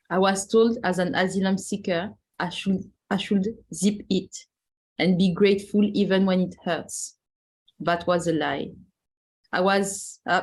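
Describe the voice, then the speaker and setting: Woman reading a poem with emphasis. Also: lowish voice. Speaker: podcast host. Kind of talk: reading a poem aloud, then casual hosting.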